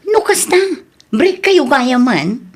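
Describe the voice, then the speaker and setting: Comedy radio drama: nosy, teasing female friend, chatty, fairly high voice, acted by a woman. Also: big pitch swings, slow, exaggerated voice. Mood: curious, sympathetic.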